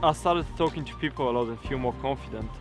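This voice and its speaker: A man's voice: medium pitch, fast talker. Sincere man from Bulgaria. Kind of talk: interview testimonial. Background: music.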